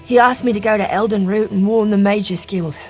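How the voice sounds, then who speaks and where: A woman's voice: mid-range voice, steady tone, brisk, faint music behind, natural voice. Woman playing a worried, earnest fantasy character. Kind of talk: video game dialogue.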